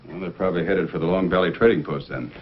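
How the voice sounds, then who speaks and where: An actor playing an authoritative Mountie sergeant with a brisk, businesslike manner in an old-time radio adventure. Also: male voice, sits low, lightly acted. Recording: dull old radio, faint noise.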